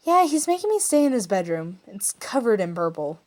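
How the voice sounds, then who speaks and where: A woman's voice: medium pitch, sing-song, brisk, darkish tone, lightly acted. A woman voicing a sassy, defiant young male captive hero in a story reading, speaking emphatically. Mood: exasperated.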